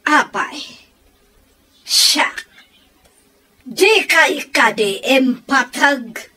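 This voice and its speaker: A woman's voice: sits high, expressive, drawn-out words, bright, forceful tone, exaggerated voice. A woman acting in an Ilocano radio drama as a stern mother, reproachful and emphatic as she urges her child toward duty.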